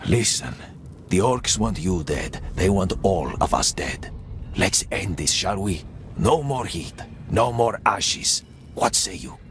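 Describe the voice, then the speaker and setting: A world-weary old prisoner in a video game. He muses and persuades in a low voice, slowly and deliberately, with faint noise in the background. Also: expressive, fairly bright tone, heavily acted. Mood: persuasive. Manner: measured, musing, deliberate pauses. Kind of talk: dramatic video game acting.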